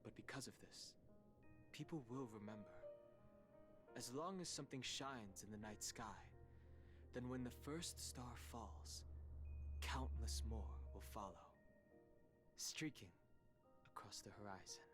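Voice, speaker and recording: A man playing a solemn, dignified video game character with a formal, poetic, measured delivery. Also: mid-range voice, natural voice. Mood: solemn, reflective. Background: game music.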